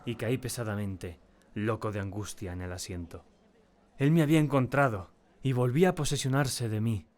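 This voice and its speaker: A man acting a tormented, terrified diarist in a dramatic first-person audiobook narration, with a solemn and deliberate, theatrical delivery. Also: medium pitch, darkish tone, lightly acted. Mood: uneasy, brooding. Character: tormented, terrified man confiding in his diary.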